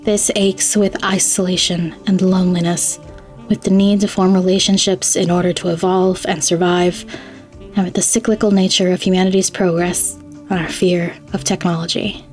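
A woman's voice: fairly low pitch, flat delivery, normal speed, darkish tone, faint music behind. A woman hosting a podcast, reading a scripted introduction to an audio fiction story. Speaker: podcast host.

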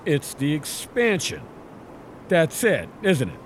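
Guy acting as earnest scientist in sci-fi audio drama, dramatic n lively, noisy behind him. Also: on the high side, slow pace, exaggerated voice. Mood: indignant realization. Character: earnest, astonished scientist on a perilous expedition. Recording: noisy background hum.

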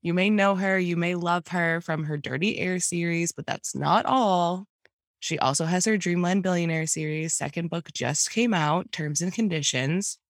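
A young woman co-hosting a casual chat podcast, playful and quick to laugh, sounding sick with a cold. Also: on the low side, no room sound, studio quality. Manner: playful, laughing often, announcer-like. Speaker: podcast co-host.

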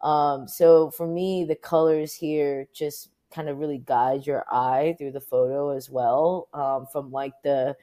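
A woman's voice: deep voice, fairly even intonation, measured pace, few pauses, warm tone. An American woman being interviewed as a photographer, talking casually and informally, thinking aloud as she goes. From Orange County, United States.

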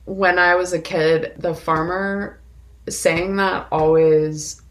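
A woman's voice: fairly low pitch, steady tone, slow pace, fairly bright tone, a little hiss or hum. A woman co-hosting a film podcast in casual conversation, reflective and often searching for her words. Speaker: podcast co-host discussing films.

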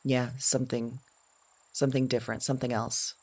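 A woman playing a woman who thinks she talks to women across time, in a halting, searching monologue. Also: a bass voice, little pitch movement, a little mellow, slightly rough. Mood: earnest, hushed. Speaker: lead character of an audio drama. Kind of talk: intimate dramatic monologue.

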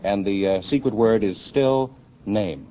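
A man's voice: lowish voice, a little slow, warm tone. An American man working as the announcer on an old-time radio quiz show. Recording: dull, noisy old radio recording.

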